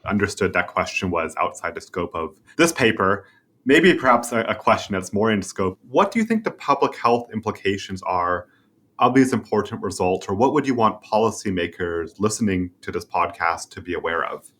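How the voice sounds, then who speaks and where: A man's voice: lowish voice, big pitch swings, normal speed, fairly bright tone. A man hosting a formal podcast interview, posing questions to a guest.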